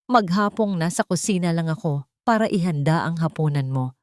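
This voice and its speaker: A woman's voice: fairly low pitch, moderate pace, dry recording, natural voice. Lady doing a humble wronged maid in an audio drama, earnest n pleading, dry close sound. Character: humble, pleading, wrongly accused young housemaid.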